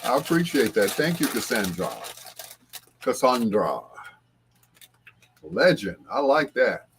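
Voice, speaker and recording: Unhurried man chatting casually on a livestream. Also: medium pitch, big pitch swings, slight background noise. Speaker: livestream host. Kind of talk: casual livestream chat, greeting viewers.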